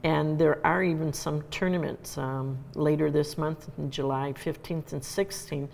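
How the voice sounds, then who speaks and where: Low, gravelly woman announcing in a reverberant hall. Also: measured pace, a little hiss or hum. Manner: relaxed, unscripted, with frequent fillers. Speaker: radio show host. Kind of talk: community announcements on live radio. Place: community hall.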